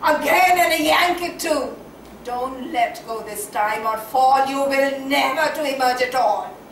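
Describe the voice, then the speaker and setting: A woman's voice: sits high, fairly bright tone. A woman playing an eccentric, passionate, half-mad character in a poetic, declamatory stage monologue. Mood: mocking, manic. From India. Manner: declamatory, poetic, dramatic drawn-out delivery. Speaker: stage actor. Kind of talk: theatrical one-act play monologue. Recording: echoey room, distant mic, faint noise.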